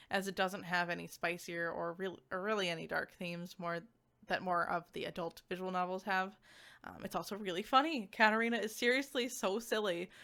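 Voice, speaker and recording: A woman's voice: medium pitch, pushed and bright. A woman giving a casual, chatty game review in an enthusiastic, informal and giggly manner. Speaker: video game reviewer and streamer.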